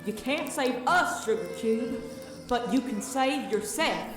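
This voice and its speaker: An urgent big-sister pony in a fantasy audio drama, high and swooping with a country drawl. She calls slowly from far off, in long reverb. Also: animated. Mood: pleading, sorrowful. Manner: country drawl, drawn-out pleading. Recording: distant, long echoing reverb, loud music.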